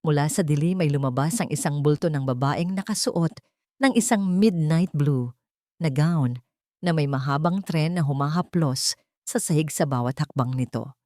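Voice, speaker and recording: A woman's voice: low-pitched, normal speed, warm tone, dry recording, studio quality. A woman reading a scripted, dramatic love story as a storyteller.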